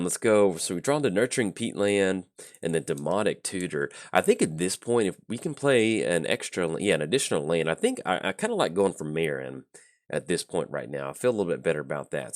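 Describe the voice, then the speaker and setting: A man with a raspy, not yet warmed-up voice, casually narrating a card game as a channel host in an upbeat, chatty way. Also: low voice.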